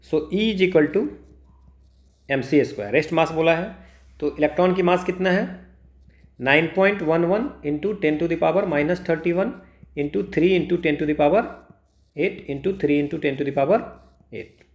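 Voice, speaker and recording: Man, Indian accent, physics tutor, higher-pitched voice, unhurried step-by-step explanation, Hindi-English mix, self-posed questions. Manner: mixes Hindi and English, asks himself questions then answers. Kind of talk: step-by-step exam problem walkthrough. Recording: echoey room.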